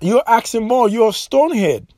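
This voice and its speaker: A man of Haitian origin with a high voice delivers forceful commentary on his own. He hammers his points home, repeats himself and restarts sentences midway. The sound is dry and close, with no room around it. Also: very animated, fairly quick, dry recording. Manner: emphatic, repetitive, frequent restarts. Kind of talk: impassioned solo commentary.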